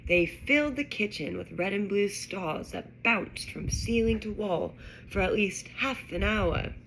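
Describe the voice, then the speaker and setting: A woman putting on a British accent to read a children's storybook aloud in a measured, expressive way. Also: mid-range voice, slight background noise, rough recording. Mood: storytelling. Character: British storybook narrator reading a wizard school tale. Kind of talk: scripted narration.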